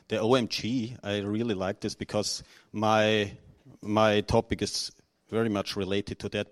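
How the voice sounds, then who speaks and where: A middle-aged man from Austria, speaking unscripted to an audience, halting and nervous, with many restarts and fillers. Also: lowish voice. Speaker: conference speaker.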